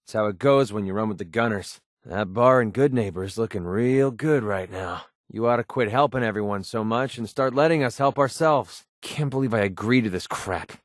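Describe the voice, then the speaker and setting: Guy voicing a young american gun for hire in a game, sarcastic and streetwise, lowish voice, really dry close sound. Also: normal speed, hardly any pauses, no room sound, lightly acted. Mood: wary, irritated. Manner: sardonic, informal, streetwise. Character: cynical, wisecracking young mercenary gunman for hire. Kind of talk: video game companion dialogue.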